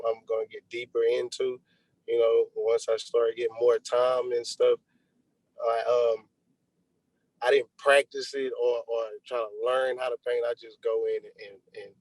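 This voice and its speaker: A man's voice: medium pitch, a little mellow, no room sound, rough recording. Male interview guest, laid-back and reflective, casual conversational delivery. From Texas, United States. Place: remote video call.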